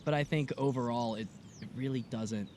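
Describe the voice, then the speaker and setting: A young man from the United States co-hosting a podcast, telling stories in a casual, animated way full of "like" and "I was like.". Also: medium pitch, normal speed, slight background noise. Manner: animated storytelling, lots of "like" and "I was like". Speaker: podcast co-host. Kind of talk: casual conversation. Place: outdoors in the mountains.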